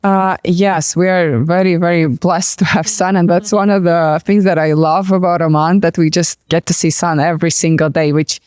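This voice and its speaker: A woman's voice: fairly low pitch, steady tone, normal speed, few pauses, darkish tone, smooth, no room sound. A woman from Latvia, an interior designer, explaining things conversationally as an interview guest, with hesitant phrasing.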